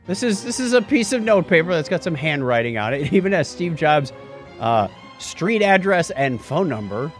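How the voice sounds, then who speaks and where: Animated man hosting a podcast. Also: fairly high pitch, normal speed, faint music behind. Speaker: podcast host. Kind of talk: casual tech-history podcast chat.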